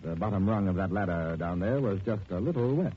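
Casting: unflappable gentleman sleuth, pedantic and wry, lively pitch, vintage radio with phone-like band. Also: a little fast. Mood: wry explaining. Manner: polished, dry, pedantic. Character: suave, unflappable gentleman detective, dryly witty. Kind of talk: 1940s radio detective drama acting. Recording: tinny, noisy 1940s radio recording.

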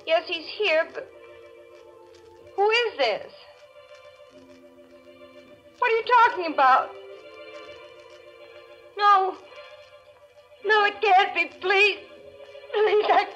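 A young, anxious sweetheart in a 1940s radio thriller. Her voice is bright and a little high, halting and submissive, on a muffled vintage broadcast with faint music. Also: exaggerated voice. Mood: frightened pleading. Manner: hesitant, halting, breathless pleading. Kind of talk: 1940s radio mystery acting.

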